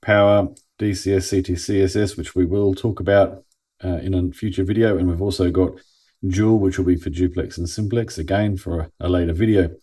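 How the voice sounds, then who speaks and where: A man's voice: low-pitched, fairly even intonation, fairly quick, dark tone, slightly rough. An Australian man giving a casual hands-on product review in a laid-back, plain-spoken way, as if thinking aloud. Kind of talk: casual unboxing and review commentary.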